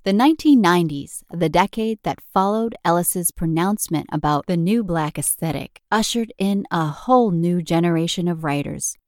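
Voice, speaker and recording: Female podcast narrator, lower register, measured and articulate scripted read, dry studio sound with music underneath. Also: a little mellow, no echo. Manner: measured, articulate, formal reading.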